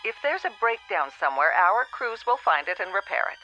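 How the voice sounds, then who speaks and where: A female telephone operator in a radio drama, courteous and matter-of-fact. Her voice is bright and businesslike, coming down a dull, band-limited line. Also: medium pitch, very animated, fairly quick. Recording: dull telephone-style sound, faint music.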